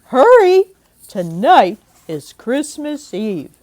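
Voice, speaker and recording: An older woman voicing a wise, brisk chipmunk aunt from a storybook, loud and emphatic with drawn-out words. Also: high voice, very animated, voice pushed up, full character voice. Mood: excited urging.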